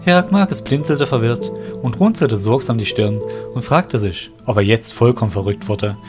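A man's voice: medium pitch, moderate pace. A man reading scripted narration for a fantasy audio drama as its storyteller. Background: music.

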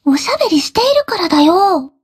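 A woman voicing a sweet, gentle, mild-tempered teenage schoolgirl in an anime-style game. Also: very high voice, very animated, fast talker. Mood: gently chiding.

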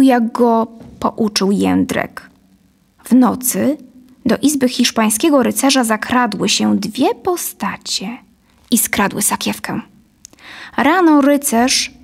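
Narrator, woman in her thirties: scripted storytelling, higher-set voice, noticeable fry, close studio mic. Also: darkish tone. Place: dim studio set, indoors. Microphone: close studio condenser microphone.

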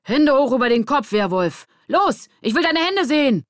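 Woman as a loud, swaggering schoolboy bodyguard. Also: high-pitched, very animated, normal speed, no room sound, studio quality, pitched above the performer's own voice. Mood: threatening. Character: tough swaggering schoolboy posing as armed bodyguard.

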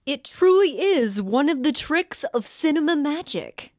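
An actress playing a polite, earnest classic-cinema interviewer in a comedy sketch, with a measured presenter's delivery. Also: female voice, high-pitched, big pitch swings, over loud music. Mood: gracious.

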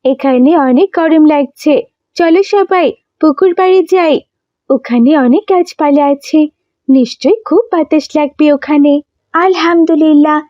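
A woman's voice: high voice, dark tone, no echo, dull audio. A woman voicing a cheerful, pious little village girl in a children's cartoon, earnest and sweetly polite. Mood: relieved, pleased. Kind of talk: children's Islamic cartoon dialogue.

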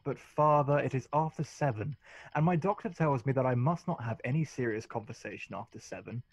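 Man playing a husky, foppish young English lord. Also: medium pitch, fairly quick, no echo, dull audio. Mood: smoothly flippant. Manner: languid, drawling, witty. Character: foppish, vain, idle English lord of thirty-four, flippant wit. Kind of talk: period comedy radio play.

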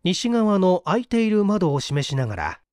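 A man's voice: high voice, sing-song, normal speed, darkish tone, no room sound, clean studio sound. A man reading a mystery story as dramatic scripted narration. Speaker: audiobook reader, stage actor.

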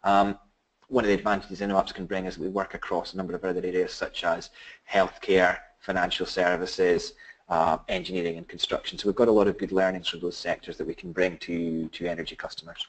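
A middle-aged man with a Scottish accent presenting a business webinar, halting with many fillers and restarts. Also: sits low, flat delivery, rough recording. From Scotland.

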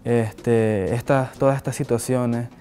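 A man's voice: medium pitch, fairly even intonation, slow pace, mellow, soft background music. A man, a visual artist answering interview questions reflectively, with many restarts and tag questions, seated indoors on camera. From Nicaragua. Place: indoor office with flag and shelves. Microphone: fixed camera, seated medium shot.

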